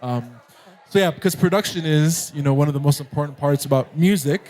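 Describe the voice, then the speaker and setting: A man's voice: a little high, brisk. Laid-back young man, American accent. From Nairobi, Kenya. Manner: relaxed, laughs often, many fillers. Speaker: guest musician. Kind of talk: casual interview chat.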